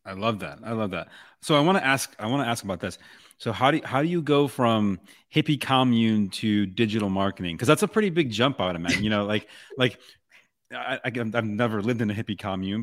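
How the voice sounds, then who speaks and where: A man hosting an interview podcast, upbeat and quick to stammer and repeat himself. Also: fairly low pitch, fairly bright tone. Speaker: podcast host. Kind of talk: conversational interview.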